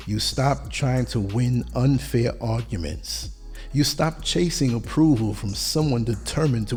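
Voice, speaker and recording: A man hosting a podcast, giving a motivational monologue in short, emphatic lines, his voice hoarse from a long day of talking. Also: medium pitch, darkish tone. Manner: measured, emphatic delivery in short declarative lines. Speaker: podcast host.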